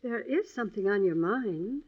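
An actress playing a kindly, wistful elderly widow in an old-time radio drama, gentle and hesitant, with fond endearments. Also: female voice, mid-range voice, lively intonation, moderate pace, natural voice. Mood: weary, concerned. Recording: dull vintage radio recording.

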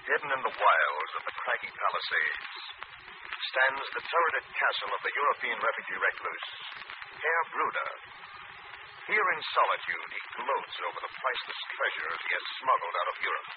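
A man's voice: medium pitch, sing-song, moderate pace. A man announcing and narrating in an emphatic, theatrical 1940s radio style. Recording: tinny, noisy old radio recording.

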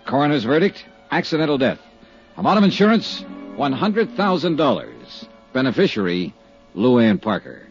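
Wry American private investigator: brisk, persistent, animated mid-range voice, vintage radio-drama sound. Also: male voice, medium pitch, faint music behind. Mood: brisk, dry narration. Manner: sardonic, brisk, clipped. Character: wry, tough, persistent male insurance investigator. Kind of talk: 1950s radio drama acting and scripted narration. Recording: dull vintage 1950s radio recording.

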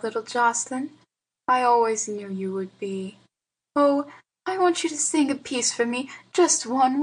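A woman's voice: a little high, slightly rough, poor audio. A woman voicing a frail, tender old woman. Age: older. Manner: soft, slow and murmuring.

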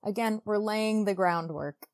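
A woman's voice: mid-range voice, unhurried. Female co-host for a book chat show, mid-range voice, chatty and gushing, very informal phrasing. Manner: chatty, gushing, frequent "like" asides. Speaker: podcast co-host. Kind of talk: casual book discussion podcast.

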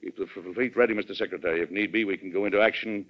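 An actor playing a resolute middle-aged American president with an emphatic, authoritative delivery in an old-time radio drama. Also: male voice, low-pitched, steady tone, racing, natural voice. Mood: firm, impassioned. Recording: dull, lo-fi vintage radio recording.

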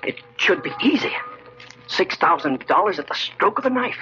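An actor playing a nervous, desperate man with an agitated, halting, melodramatic delivery in 1940s radio horror style. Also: high-pitched, sing-song, sharp tone, faint music behind, heavily acted. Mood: tense, scheming. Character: nervous, desperate nephew plotting murder. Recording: muffled, noisy old radio recording.

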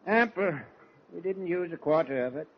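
A man's voice: high-pitched, lively intonation, normal speed. An actor playing an easygoing, blunt older fishing captain in a 1940s radio drama, folksy and hesitant in his talk. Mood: grumbling. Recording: muffled, noisy 1940s radio recording.

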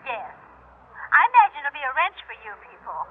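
An actress playing a polite, businesslike adoption agency worker, formal and conciliatory, in classic radio drama style. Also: female voice, sits high, huge pitch swings, fairly quick. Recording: tinny telephone voice, noisy old radio.

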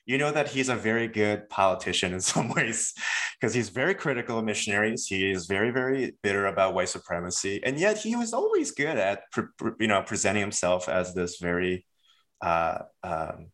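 Low-voiced man, halting academic guest. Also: very animated, a bit bright. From South Korea. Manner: thoughtful, many fillers and restarts. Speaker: author, guest. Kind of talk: academic interview.

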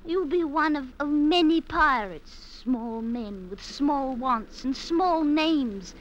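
A woman's voice: sits high, expressive, takes it slowly. A woman playing an ambitious, bewitching sixteen-year-old bride in a classic radio drama, speaking in archaic, theatrical phrases. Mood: coy, challenging. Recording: dull vintage radio recording, faint noise.

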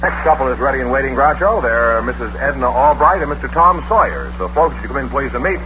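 A man's voice: medium pitch, fast, bright, forceful tone, slight background noise. An American man announcing scores and contestants in the manner of a mid-century radio quiz show. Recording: dull vintage radio recording, faint music.

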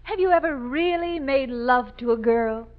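An American actress playing a sassy, flirtatious secretary in a 1940s radio comedy, with pert, teasing delivery. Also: female voice, high voice, normal speed, lightly acted. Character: sassy, flirtatious secretary who teases her suitors. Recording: muffled old radio recording.

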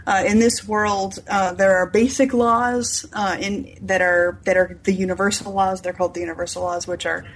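Husky-voiced woman explaining in detail. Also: medium pitch, flat delivery, moderate pace, hardly any pauses. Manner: enthusiastic, detailed, enumerating explainer. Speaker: author being interviewed about their novel. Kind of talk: unscripted explanatory interview talk.

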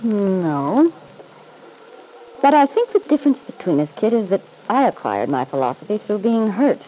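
A woman's voice: medium pitch, very animated, moderate pace, dark tone, lightly acted, low-quality recording. An actress playing a catty, disillusioned woman in a vintage radio soap, arch, needling and drily amused. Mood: reproachful. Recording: old radio recording with faint hiss.

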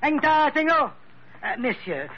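An old, dull radio recording of a French colonial police officer. His voice is high and swings freely. He is effusive and formal, laying on flattery in a radio adventure. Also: unhurried, a little hiss or hum, full character voice. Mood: flattering, formal. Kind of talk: 1940s-50s radio adventure acting.

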